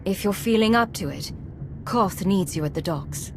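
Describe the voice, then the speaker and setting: An actress playing a composed, authoritative secret agent commander in a video game, firm and diplomatic. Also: female voice, medium pitch, lively intonation, normal speed, creaky, noisy background. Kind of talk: video game cutscene acting.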